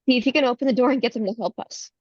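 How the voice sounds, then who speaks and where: Woman acting a husky, high-voiced vengeful young beauty queen. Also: rapid-fire, a little mellow, highs cut off, lightly acted. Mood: urgent. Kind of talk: screenplay table read.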